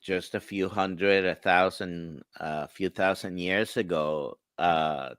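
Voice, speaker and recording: A man with a Spanish accent, a physician guest answering interview questions in a measured, explanatory way over a video call, pausing on "uh". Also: low-pitched, fairly bright tone, dry recording. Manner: measured, explanatory, many "uh" pauses. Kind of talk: live-stream interview answers.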